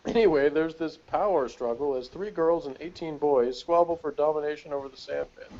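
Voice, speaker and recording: A man's voice: on the high side, a little fast, a little mellow. A man chatting casually on a review panel over a video call, with an amused, digressive, trivia-minded way of talking. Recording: dull lo-fi video call audio.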